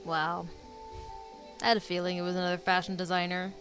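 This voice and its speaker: A woman's voice: fairly low pitch, flat delivery, fairly quick, over music. A woman giving casual reaction commentary as she streams a story game.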